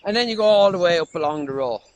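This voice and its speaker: A playful man performs a comic how-to video in an exaggerated way. His voice is high for a man and swings around a lot, with music behind it. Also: lively intonation, fast, slight background noise. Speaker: comedy performer.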